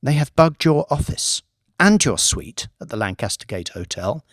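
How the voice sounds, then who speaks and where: A man's voice: medium pitch, big pitch swings, moderate pace, no echo, clean studio sound, voice pushed up. A man voicing an earnest, secretive young woman in an audiobook, speaking in a confiding manner. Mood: urgent, confiding.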